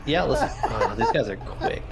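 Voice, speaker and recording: A man's voice: mid-range voice, wildly swooping pitch, normal speed, gravelly. A man chatting casually as a player in a tabletop roleplaying game. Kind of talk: casual chat while playing. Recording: music under the voice. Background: music.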